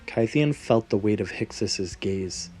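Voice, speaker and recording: Low-voiced man narrating dramatic fantasy. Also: expressive, measured pace, darkish tone. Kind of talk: scripted fantasy story narration. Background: background music.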